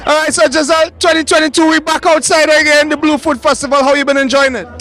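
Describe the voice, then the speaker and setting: A man's voice: extremely high pitch, flat delivery, quick pace, sharp tone. A man hosting chatty, joking interviews at an outdoor festival. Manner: chatty, jokey, many restarts. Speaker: roving festival host. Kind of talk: upbeat on-location interviewing.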